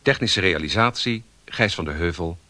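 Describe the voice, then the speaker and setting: A man reading scripted radio drama credits in a measured announcer's style. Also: sits low, animated, pushed and bright, no room sound.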